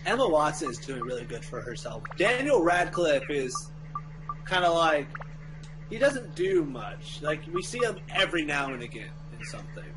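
Man guest, higher voice, excitable chatty storyteller, game music behind. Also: normal speed, poor audio. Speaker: friend chatting on stream. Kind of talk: casual chat.

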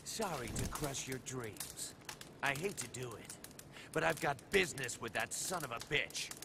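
An actor playing a brash, cocky young assassin antihero in a video game, blunt and curt. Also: male voice, mid-range voice, big pitch swings, normal speed. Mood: cool, blunt. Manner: blunt, curt, swearing swagger. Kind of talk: English video game cutscene acting. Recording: game audio with clear background noise.

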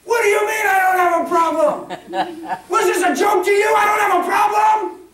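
A preacher acting out a frantic screaming husband. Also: male voice, moderate pace. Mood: frantic shouting. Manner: shouting, frantic, high-pitched. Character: distraught middle-aged husband screaming at God. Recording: echoey hall from far off.

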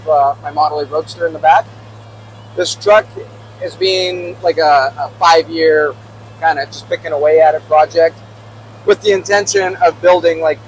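A man in his thirties with a slightly high voice talks easily through a clip-on mic as he drives an old pickup truck. A faint engine and road hum sits under his relaxed, explanatory chat. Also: low-quality recording. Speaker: vlogger. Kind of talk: casual road trip vlog. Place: inside an old pickup truck cab while driving. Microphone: small clip-on mic on the shirt.

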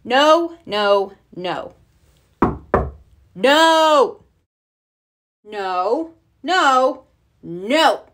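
A woman's voice: sits high, animated, extremely slow, pauses often, a bit bright, voice pushed up, full character voice. A woman voicing a grumpy, curt male bear who refuses to share, in a children's storybook read-aloud. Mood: grumpy, refusing.

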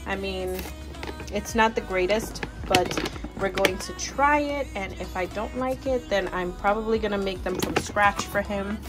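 A young woman talks slowly and easily through her groceries in a home kitchen, chatty and relaxed, doubling words like "really, really" for emphasis. Her pitch sits in a middle range. Music plays clearly under her voice. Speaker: vlogger showing a grocery haul. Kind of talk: casual show-and-tell narration. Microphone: camera pointed down at items on a kitchen counter. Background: background music.

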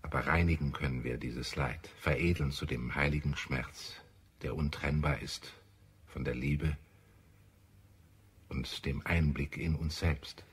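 Ein Schauspieler spielt in einem deutschen Hörspiel einen ernsten, idealistischen Marsianer, der getragen und deklamierend spricht. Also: male voice, rumbling low, fairly even intonation, measured pace, slightly rough, exaggerated voice. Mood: idealistic, resolute. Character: earnest, idealistic Martian man, visionary and grave. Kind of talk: German radio play acting.

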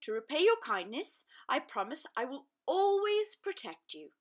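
A woman's voice: sits high, big pitch swings, measured pace, pitched above the performer's own voice. A woman voicing a big, proud male lion in a children's storybook, grand and emphatic. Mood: grateful, solemn. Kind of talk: children's storybook read-aloud.

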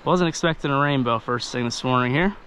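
A man's voice: medium pitch, poor audio. A man fly fishing in a river, chatting casually to the camera and talking to the fish as he lands them. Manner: chatty, excited, talks to the fish. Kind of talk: casual narration while fishing. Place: outdoors wading a river. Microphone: head-mounted action camera. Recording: faint river noise outdoors. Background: rushing stream water.

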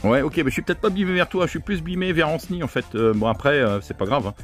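A man works radio contacts at a brisk, rattling pace. He talks casually in operator jargon and repeats call codes and signal reports, with light music underneath. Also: on the high side. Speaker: amateur radio operator running contacts from a hilltop.

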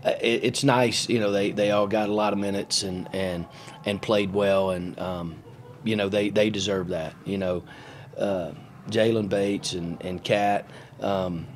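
A middle-aged man, a basketball coach, answering questions at a postgame press conference in a halting, matter-of-fact way, with many fillers and restarts, into a table microphone. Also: fairly low pitch, steady tone, a little hiss or hum. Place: press room in front of a sponsor backdrop. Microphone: table mic on a stand.

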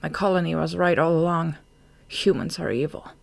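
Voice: medium pitch, normal speed, gravelly, no echo. A storybook narrator voicing a practical young female bat, distrustful and bitter, moving between dull muttering and savage intensity. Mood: dark, bitter.

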